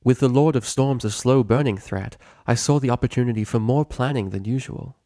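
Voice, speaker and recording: A man's voice: mid-range voice, fairly quick, mellow, dry recording, studio quality, pitched above the performer's own voice, close to an everyday voice. Man voicing a stern regal pony princess. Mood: firm, worried. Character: stern regal mare princess, mentor ruler, angry then motherly.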